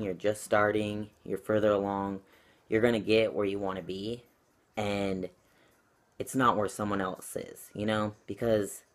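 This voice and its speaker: A young man talking earnestly and reflectively to camera at home, with frequent ums and likes. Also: lowish voice. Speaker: channel vlogger. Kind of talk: casual talk to camera. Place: at home with his cat.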